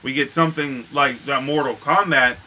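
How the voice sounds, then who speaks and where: A man's voice: medium pitch, fairly even intonation, moderate pace, pushed and bright, slight background noise. A man co-hosting a podcast in casual conversation, talking in an emphatic, blunt way with some swearing. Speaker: podcast co-host. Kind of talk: casual chat about movies and games.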